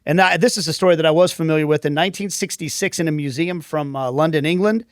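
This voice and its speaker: A man hosting a podcast who runs a trivia quiz in a bright, upbeat way. His voice sits fairly high. The recording is dry and close-miked. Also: fast talker, clear, resonant tone, dry recording. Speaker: podcast host. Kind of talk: casual trivia quiz and show intro.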